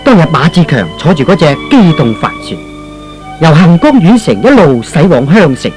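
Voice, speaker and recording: A man's voice: fairly high pitch, very animated, moderate pace, music in the background. A man narrating a scripted radio drama as a storyteller, theatrical and emphatic in delivery.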